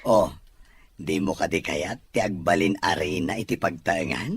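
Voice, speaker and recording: A man playing a proud, playful young expectant father in an Ilocano audio drama, with a husky, breathy voice. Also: low-pitched, normal speed, heavily acted. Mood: playful, teasing.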